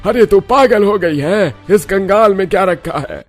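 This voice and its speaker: A man voicing an arrogant, contemptuous and angry bride's father in a cartoon drama, loud and scornful. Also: high-pitched, expressive, measured pace, faint music behind, full character voice. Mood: furious.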